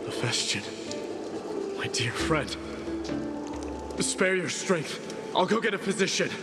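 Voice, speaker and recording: An actor playing a stoic immortal warrior king who solemnly recounts his fallen comrades in measured, formal speech for a video game. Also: fairly high pitch, lively intonation. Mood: desperate. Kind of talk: dramatic video game narration. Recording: loud music behind the voice. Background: game music.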